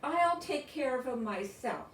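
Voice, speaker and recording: A woman's voice: high voice, expressive, measured pace, pitched above the performer's own voice. A woman reading a storybook as an earnest, caring child who speaks with sincere pleading. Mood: earnest resolve. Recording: roomy, distant mic, faint noise.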